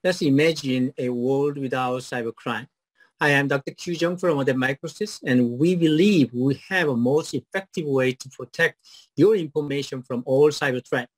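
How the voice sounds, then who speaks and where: A man's voice: medium pitch, moderate pace, dry recording, rough recording. Korean-accented man on a video call. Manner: hesitant, many fillers and restarts when answering. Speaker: startup founder pitching. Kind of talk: rehearsed pitch then halting answers. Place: home office on video call. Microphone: video call webcam.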